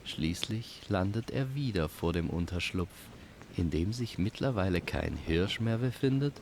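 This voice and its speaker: A man narrating a scripted fantasy story in a measured, descriptive storytelling manner. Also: low voice, expressive, gravelly, darkish tone, slight background noise.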